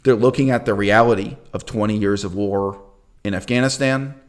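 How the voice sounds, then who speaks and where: A man hosting his own channel, giving an emphatic, opinionated talk on history, with loud bursts that drop to quiet asides. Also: on the low side, fairly even intonation, moderate pace. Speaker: channel host.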